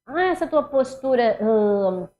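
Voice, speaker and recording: A middle-aged woman with a Northeastern Brazilian accent teaches an online exam-prep class and walks her students through each answer in a lively way. Her voice sits a little high. The recording sounds somewhat muffled and lo-fi. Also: slow pace. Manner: animated, talks students through each step, mimics speech habits. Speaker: Portuguese teacher.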